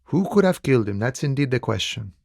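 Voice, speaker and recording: A man's voice: medium pitch, very animated, vocal fry, a little mellow, dry recording, studio quality. A male actor playing a laid-back, philosophical harbor worker on strike in a video game, speaking in an easygoing, wry, musing way. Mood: calm, wry. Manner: easygoing, wry, musing, unhurried folksy phrasing. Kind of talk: video game dialogue acting.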